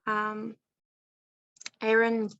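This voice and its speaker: A woman from Greece leads a presentation on a group video call. She speaks slowly and haltingly, feeling for her words. The sound is dry and somewhat muffled. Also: on the high side, completely flat delivery, fairly bright tone, no echo. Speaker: youth minister leading a presentation.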